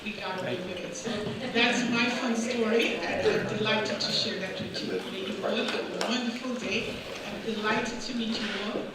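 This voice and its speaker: A woman sharing a warm family anecdote at a memorial gathering in a restaurant. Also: fairly high pitch, steady tone, moderate pace, hardly any pauses, over a noisy crowd. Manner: warm storytelling, laughs while telling. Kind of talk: personal anecdote at a repass. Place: restaurant dining room. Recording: echoey room, distant mic, loud noise.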